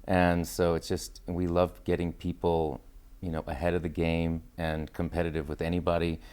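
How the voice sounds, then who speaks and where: A man's voice: sits low, completely flat delivery, moderate pace, a little mellow, clean tone. A man talking casually as an interview guest, informal and enthusiastic, with loosely strung phrases. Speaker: interview guest, acting studio founder and teacher.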